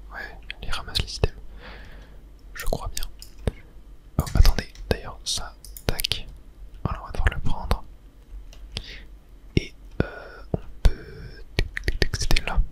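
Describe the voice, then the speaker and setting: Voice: slow pace, long pauses. Whispered ASMR gaming commentary. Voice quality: soft unvoiced whisper.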